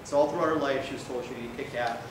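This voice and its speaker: A man's voice: fairly even intonation, racing. A male doctor giving an informal medical lecture in a conversational way, often checking in with his listeners. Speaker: emergency physician lecturing. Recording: very echoey room, distant mic, noisy rumble.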